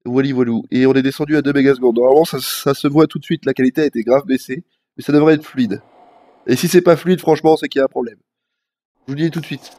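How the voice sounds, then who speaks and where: A man's voice: mid-range voice, fast, dark tone. A young man with a head cold chatting casually and rambling in slang while livestreaming a video game. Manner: rambling, slangy, frequent fillers. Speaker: live-streaming gamer.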